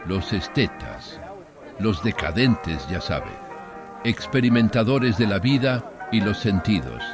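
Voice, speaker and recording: A man's voice: lowish voice, sing-song, slow, over music, full character voice. A man voicing a proud, lonely old planter who recounts his family past in a wistful, dignified audiobook monologue. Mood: regretful.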